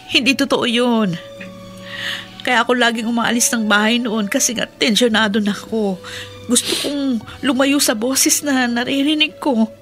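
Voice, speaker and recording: An actress playing a troubled, secretive wife and mother in a Filipino radio drama, speaking in a halting, earnest, confessing way. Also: a little high, a bit bright, faint music behind. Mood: pained, earnest.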